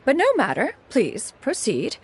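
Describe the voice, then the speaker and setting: A woman's voice: a little high, wildly swooping pitch, measured pace, husky, full character voice. A woman voicing an ancient, proud female immortal bird adept in a video game, haughty but fond, speaking in pompous, formal, archaic phrases. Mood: composed, curious. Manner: pompous, formal, archaic, says "one" for herself. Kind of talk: video game character acting. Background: music.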